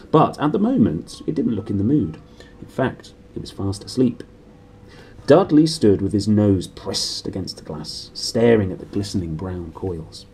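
A man in his thirties with a British accent, reading a storybook aloud in an animated way. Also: on the low side, slight background noise, poor audio. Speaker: book reader. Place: small room indoors.

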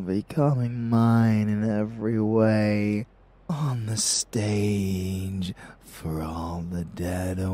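A man playing a dark, obsessive vampire lover in an ASMR audio roleplay, with a theatrical, seductive delivery and murmurs. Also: low voice, breathy edge, heavily acted. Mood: adoring, possessive.

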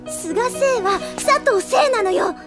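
A woman playing an excitable, bubbly, self-assured schoolgirl in an audio drama, exclaiming in a drawn-out way. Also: extremely high pitch, over loud music, full character voice. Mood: excited. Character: excitable, squeaky schoolgirl, bubbly and sure of herself. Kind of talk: Japanese audio drama acting.

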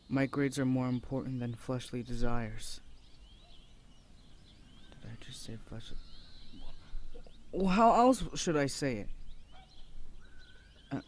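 A man's voice: mid-range voice, animated, takes it slowly, long pauses. Guy voicing a shy flustered nerdy college boy, stammers a lot, asmr roleplay, birds and outdoor noise behind. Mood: alarmed, embarrassed. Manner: stammering, hesitant, awkward, earnest. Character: shy, flustered, bookish young male university student. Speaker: voice actor. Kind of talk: ASMR boyfriend audio roleplay.

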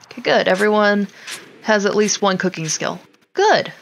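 A woman's voice: mid-range voice, expressive, moderate pace, gravelly, a little hiss or hum, low-quality recording. A woman giving casual, wry gameplay commentary, talking to her game characters as she plays. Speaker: gaming streamer.

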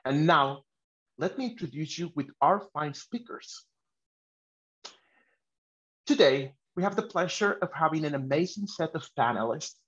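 A man's voice: fairly high pitch, lively intonation, a little slow, long pauses, a bit bright, husky. A man hosting a webinar over a video call, reading a prepared presentation with some hesitant restarts. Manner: reads from a script with hesitant restarts. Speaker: host. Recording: slightly muffled video call audio.

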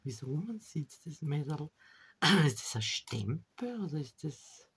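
A woman's voice: sits low, sing-song, unhurried, slightly rough. A woman with a stuffy nose, chatting casually as she shows a crafting journal at a table, often trailing off and poking fun at herself. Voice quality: chatty, self-deprecating, trails off mid-sentence. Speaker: crafting vlogger. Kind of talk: casual chat while showing a junk journal. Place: indoors at a table.

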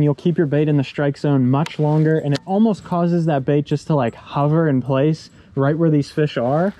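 A man's voice: a little high, warm tone, slight background noise. A young man hosting a fishing vlog, explaining lure technique step by step in an easygoing way, recorded outdoors on a boat. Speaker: fishing channel host.

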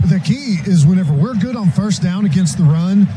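A man's voice: high-pitched, animated, fairly quick, background noise. A man giving live football analysis on the radio from a stadium sideline.

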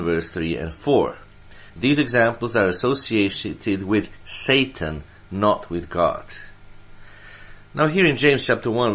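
A man from Serbia, a church elder, delivering Bible teaching from prepared notes in a deliberate way, stressing his phrases. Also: fairly low pitch, a bit bright.

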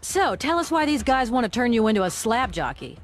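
A woman's voice: fairly high pitch, big pitch swings, faint music behind. A woman playing a tough, no-nonsense female bodyguard who gives orders in a video game cutscene. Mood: brisk, commanding. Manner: brisk, no-nonsense, bossy. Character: tough, commanding female bodyguard leading the team.

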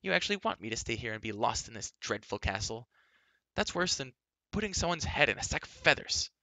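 A headstrong young storybook girl, as played by a male reader, chattering away in a raised, bright pitch. She is emphatic and quick to take offence, with an adventurous, bossy drive. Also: animated, vocal fry, dry recording, heavily acted. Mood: hurt, furious. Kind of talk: acted audiobook dialogue.

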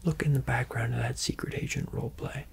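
Young adult man doing a close-mic ASMR whisper, gravelly fry under the breath, teasing and intimate. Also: medium pitch, steady tone, fast. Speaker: ASMR creator answering viewer questions.

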